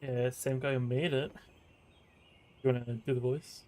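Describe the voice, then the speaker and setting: A man's voice: mid-range voice, flat delivery, normal speed, warm tone. A man hosting a gaming channel, chatting casually as he plays through a game. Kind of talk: casual game playthrough chat.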